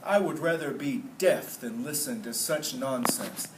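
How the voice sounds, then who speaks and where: A man's voice: medium pitch, unhurried, lightly acted. A man voicing a grumpy, dismissive iguana from a storybook, with a snappy, grumbling delivery. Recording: roomy, noisy classroom, distant mic.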